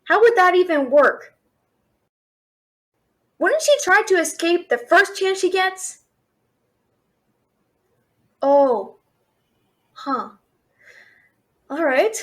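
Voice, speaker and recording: A woman acts out a feisty young thief from a fantasy audio drama, caught by a dragon and full of questions. Her voice is very high and expressive, with a slow pace. She talks straight to the listener in a sassy, incredulous manner. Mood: thoughtful, wry. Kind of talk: fantasy audio roleplay, one-sided dialogue to listener.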